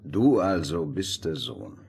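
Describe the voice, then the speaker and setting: An actor playing a refined, pompous gentleman, sly and measured, in a fairy-tale radio play. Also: low-pitched, very animated, vocal fry, slight background noise, full character voice. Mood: condescending. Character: smooth-mannered, condescending noble gentleman, probing and sly.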